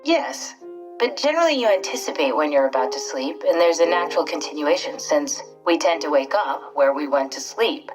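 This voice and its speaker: A pragmatic scientist in a video game, reserved and reflective. Her delivery is measured and matter-of-fact. The voice sounds slightly distant, with music playing underneath. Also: deep voice, lively intonation. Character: pragmatic, reserved woman scientist, now a digital consciousness. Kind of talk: naturalistic video game acting.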